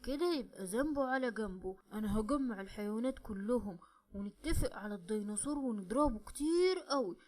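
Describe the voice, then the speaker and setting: A woman voicing a stern, good-hearted male monster with an Egyptian accent, speaking low and firm. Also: lively intonation, fairly quick, darkish tone, lightly acted. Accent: Egyptian Arabic.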